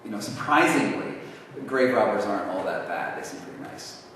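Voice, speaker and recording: A man answering audience questions at a university talk, casual and halting, with many restarts. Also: on the low side, expressive, a bit bright. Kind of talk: lecture Q&A. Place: university lecture hall. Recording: echoey hall from far off, faint noise.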